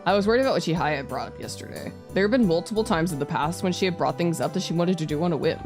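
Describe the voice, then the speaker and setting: A woman's voice: on the low side, lively intonation, rapid-fire, music in the background. A woman streamer playing a visual novel live, reading the narration aloud and adding playful, casually sweary asides. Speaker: streamer, virtual YouTuber.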